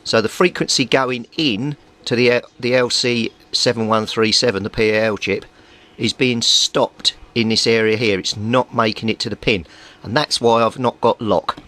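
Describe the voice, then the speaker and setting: A man's voice: mid-range voice, fairly even intonation, moderate pace, pushed and bright. A man giving an informal, methodical workbench explanation as a hobbyist repairer. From Britain. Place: small indoor home workbench. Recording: clearly noisy home recording.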